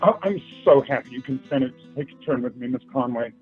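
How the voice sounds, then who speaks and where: An actor playing an earnest, polite young suitor in a radio play, courteous but halting and flustered. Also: male voice, medium pitch, slightly rough, lightly acted. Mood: nervous, courting. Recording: tinny phone line with music behind.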